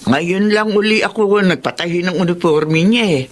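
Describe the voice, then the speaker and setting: A woman's voice: fairly low pitch, normal speed, a little hiss or hum, natural voice. A woman playing a doting, emotional elderly grandmother in a Filipino radio drama, speaking expressively and affectionately. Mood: wistful.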